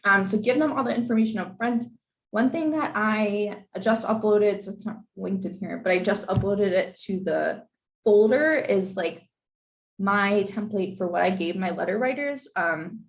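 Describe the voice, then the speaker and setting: Hoarse young woman giving casual advice. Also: mid-range voice, normal speed, echoey room, rough recording. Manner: casual and chatty, many fillers and apologies. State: losing her voice, hoarse. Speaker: info session presenter. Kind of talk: informal advice talk with slides.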